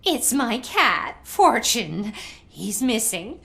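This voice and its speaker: A woman's voice: on the high side, big pitch swings, extremely slow, creaky, sharp tone, heavily acted. An actress playing an anxious, pleading elderly woman in a video game, with a dramatic way of speaking.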